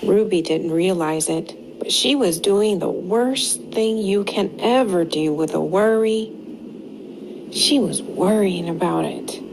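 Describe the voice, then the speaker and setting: A woman reading a picture book aloud to young children in an expressive, deliberate way with dramatic pauses. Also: medium pitch. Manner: slow, deliberate, animated storytelling with dramatic pauses. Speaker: storytime reader for young children. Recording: clearly audible background noise.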